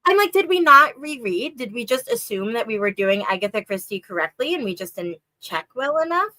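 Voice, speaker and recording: Bright, chatty woman with a stuffy nose. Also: a little fast, no echo. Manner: chatty, lots of "like" and "um", lively rises in pitch. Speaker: co-host of a reading sprint stream. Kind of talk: casual chat.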